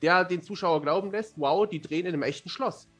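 A man with a somewhat high voice talks casually as a podcast guest. He comes across as chatty and self-deprecating, with faint music in the recording. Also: fairly quick, fairly bright tone. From Germany. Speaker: interview guest, fantasy author and amateur filmmaker.

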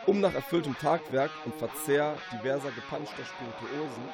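A man's voice: mid-range voice, normal speed, loud music behind the voice. A man narrating a comic fantasy parody from a script, in a formal, mock-epic storyteller manner. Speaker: author reading from his own book.

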